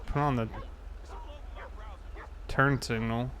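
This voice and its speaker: A man's voice: mid-range voice, fairly even intonation, slow pace, fairly bright tone, a little hiss or hum. An American man chatting casually and in a laid-back, conversational way while livestreaming a game.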